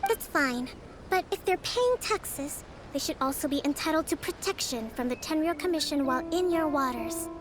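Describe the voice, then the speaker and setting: A calm young island leader. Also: female voice, high-pitched. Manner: calm, measured, diplomatic. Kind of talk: video game voice acting.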